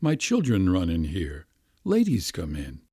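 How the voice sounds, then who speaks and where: A polite middle-aged doctor hems and hesitates, embarrassed, as a man voices him. His voice is low and mellow, with a lively rise and fall, recorded dry. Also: a little slow, breathy edge, dry recording, exaggerated voice. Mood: flustered. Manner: hesitant, mildly flustered.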